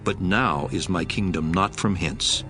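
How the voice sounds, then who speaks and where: An actor playing Jesus, a calm male teacher, speaking in a measured, solemn way in a dramatized scripture reading with music behind. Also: deep voice. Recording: audio drama with music bed.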